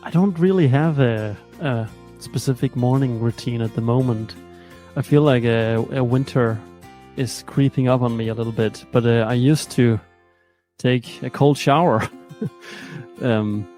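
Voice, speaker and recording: Hesitant man, mellow distant voice. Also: medium pitch. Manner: reflective, hesitant with fillers. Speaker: band member, guest. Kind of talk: casual interview answers.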